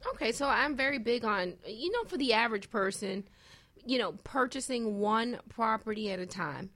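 A woman's voice: a little high, expressive, moderate pace, fairly bright tone, no echo. A woman speaking as a real estate expert on talk radio, explaining conversationally with restarts and acted-out examples. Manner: restarts and self-corrects, acts out examples.

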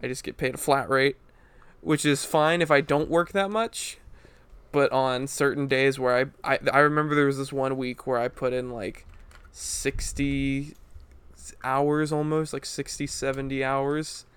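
A man's voice: mid-range voice, a little slow, clean tone, no echo. A young man telling stories in a casual podcast chat, laughing often and acting out what people said. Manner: animated storyteller, laughs often, acts out quotes. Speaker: podcast co-host. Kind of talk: casual chat among friends.

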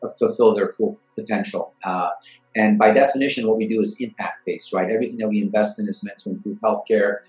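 Low-voiced man answering interview questions. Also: fairly even intonation, darkish tone, soft background music, low-quality recording. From Iran. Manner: measured, thoughtful, lots of fillers. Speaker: guest, venture investor.

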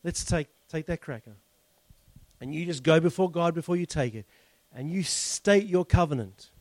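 A man's voice: fairly high pitch, moderate pace, darkish tone, dry recording. A male pastor leading communion, preaching in a fervent, declarative way with lots of repetition.

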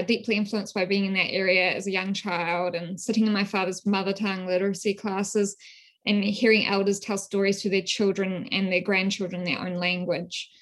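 New Zealand woman, measured academic. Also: mid-range voice. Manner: measured, thoughtful, frequent ums. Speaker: guest scholar. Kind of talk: academic interview.

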